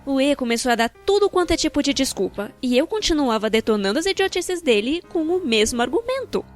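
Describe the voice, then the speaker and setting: A Brazilian woman with a fairly high voice narrates a personal story from a script. Her delivery is expressive and wry, with colloquial phrasing. Music plays behind her voice. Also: brisk. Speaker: narrator of a fan-dubbed animated storytime video. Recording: background music.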